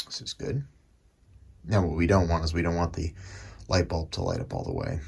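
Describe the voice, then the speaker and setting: A man's voice: low voice, very animated, normal speed. A man giving a casual, matter-of-fact running commentary as he demonstrates a repair at his workbench, thinking aloud in short phrases. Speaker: hobbyist radio restorer presenting his own repair. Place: small home workbench. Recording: clearly noisy workshop recording with clicks.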